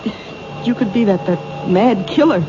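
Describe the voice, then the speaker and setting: An actress playing a nosy, chatty, wisecracking American diner waitress in a radio drama. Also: female voice, mid-range voice, animated, a little slow, lightly acted. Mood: curious, wary. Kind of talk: old-time radio drama acting. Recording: noisy, muffled vintage radio broadcast.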